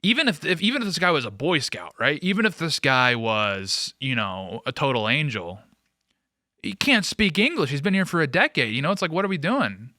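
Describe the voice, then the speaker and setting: A man's voice: on the high side, big pitch swings, moderate pace, sharp tone, no echo, studio quality. A man hosting a political commentary show, talking casually and off the cuff in a sarcastic, mocking way.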